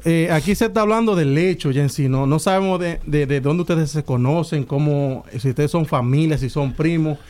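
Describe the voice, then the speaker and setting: A man with a somewhat high voice who co-hosts an interview. He presses insistently for clear answers. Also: a little fast, hardly any pauses, a little mellow. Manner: insistent, wants things made clear.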